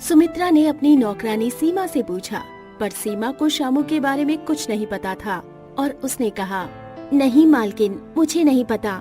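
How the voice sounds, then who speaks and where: A woman narrating a cartoon story in an animated storybook style and calling out loudly in the character lines. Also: high-pitched, moderate pace, soft background music. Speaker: narrator and voice actor.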